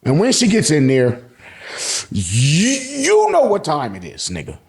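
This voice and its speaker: A man's voice: fairly high pitch, huge pitch swings, unhurried. An American man hosting a casual, slangy recap, telling the story colloquially and acting out reactions. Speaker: YouTube host recapping manga.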